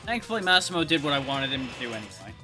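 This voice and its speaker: A man's voice: mid-range voice, big pitch swings, racing. A man giving casual, wry gameplay commentary as a streamer, in an offhand way. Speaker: game streamer. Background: game music.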